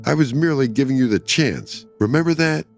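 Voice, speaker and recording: A man's voice: mid-range voice, fairly quick, faint music behind, voice pushed up, natural voice. A man voicing a bitter, vengeful wife driven mad by hatred in a dramatic story reading, hard and quavering. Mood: hard, resentful.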